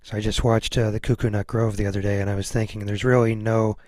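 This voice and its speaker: A man's voice: fairly low pitch, level tone, fast, a little mellow, no room sound, studio quality. A man hosting a podcast in a casual, conversational interview style. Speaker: podcast host.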